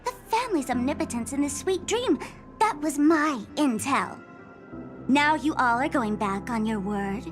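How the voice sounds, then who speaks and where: A woman's voice: high-pitched, expressive, measured pace. An actress playing a theatrical, teasing young trickster woman in an anime-style game, with a smug, sing-song delivery. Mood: teasing, indignant. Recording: music behind the voice. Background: game soundtrack music.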